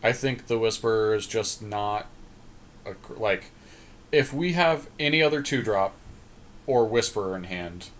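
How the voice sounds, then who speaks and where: A man's voice: fairly low pitch, animated, measured pace. A man co-hosting a podcast, chatting casually and thinking aloud in informal slang as he talks through a card game draft. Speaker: podcast co-host.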